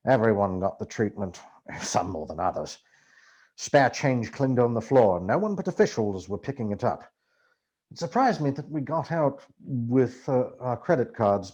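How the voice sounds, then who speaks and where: Husky, theatrical male storyteller. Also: mid-range voice, a little mellow. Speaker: amateur audiobook reader. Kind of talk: dramatic literary narration of a memoir.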